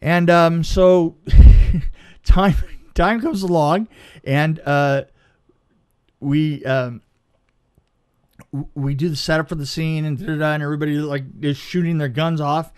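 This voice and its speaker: A man's voice: on the high side, steady tone, a little slow, long pauses, clear, resonant tone. A man telling a casual anecdote as a film crew guest, stammering and repeating words as he goes.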